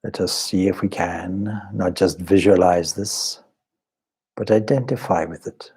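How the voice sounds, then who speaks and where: A man leading a guided meditation as a teacher, speaking in measured, deliberate short phrases with pauses and a soft, husky voice. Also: sits low, fairly even intonation. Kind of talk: guided meditation, slow spoken instruction.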